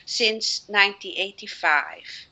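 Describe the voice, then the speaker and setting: A middle-aged woman from Suriname whose first language is Dutch, speaking as a guest on personal development and pausing often to find her words. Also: medium pitch, sharp tone. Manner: earnest, with frequent fillers and restarts. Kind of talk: interview answers.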